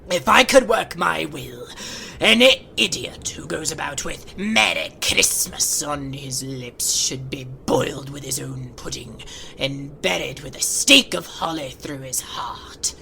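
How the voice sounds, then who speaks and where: A woman's voice: low-pitched, a little slow, vocal fry, bright, forceful tone, a little hiss or hum, exaggerated voice. A woman voicing a bitter, miserly old man who sneers at everyone in a harsh half-whisper. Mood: scornful. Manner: sneering, clipped.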